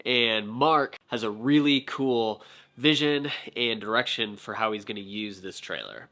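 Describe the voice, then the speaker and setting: A man's voice: lowish voice, animated, unhurried, bright, forceful tone. An American man hosting a build vlog, talking shop in a casual, upbeat way.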